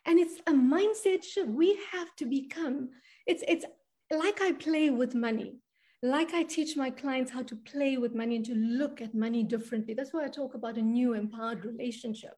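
Earnest high-voiced woman coach, mellow tone. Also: normal speed. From South Africa. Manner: emphatic, earnest, often adds "right?". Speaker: money and spiritual coach, guest. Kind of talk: conversational interview.

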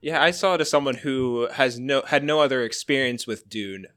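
Guy just chatting on a podcast, average pitch, dry sound. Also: normal speed, fairly bright tone, no echo. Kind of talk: casual podcast chat.

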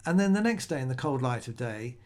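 A man's voice: medium pitch, sing-song, a little fast, darkish tone. A middle-aged man hosting a solo podcast, talking in a conversational, rambling way with frequent restarts. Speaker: podcast host.

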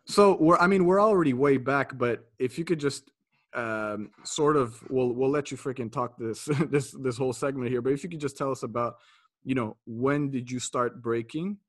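A man's voice: medium pitch, moderate pace, no echo. Casual male podcast host, dry close sound. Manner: informal, loosely phrased questions. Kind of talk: casual interview chat.

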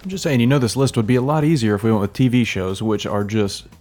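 A man's voice: medium pitch, quick pace, dark tone. A man co-hosting a casual podcast, adding short joking remarks. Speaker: podcast co-host.

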